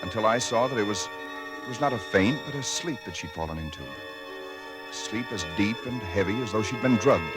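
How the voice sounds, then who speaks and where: A man voicing a frightened young husband who tells his tale in a tense, halting way. His voice sits very low, and music plays clearly behind him. This is old-time radio drama narration. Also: animated, natural voice. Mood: haunted. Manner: tense, halting, stammers under strain. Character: shaken young husband telling his story.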